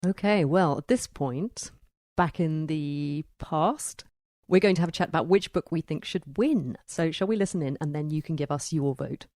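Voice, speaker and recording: Woman, podcast host, lowish pitch, dry sound, thoughtful, conversational book chat. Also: moderate pace, a little mellow, no echo.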